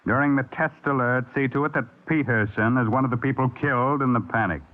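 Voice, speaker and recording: An actor playing a ruthless, calculating ringleader of saboteurs in old radio style, commanding and deliberate. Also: male voice, mid-range voice, natural voice. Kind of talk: 1950s radio acting. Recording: tinny old radio broadcast.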